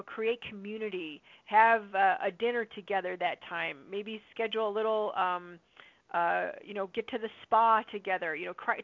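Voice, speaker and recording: A slightly hoarse woman hosting a call, chatty and upbeat, answering listener questions with lots of fillers. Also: medium pitch, dry recording. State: slightly hoarse from long talking. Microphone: phone or conference line. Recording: dry tinny phone-line audio.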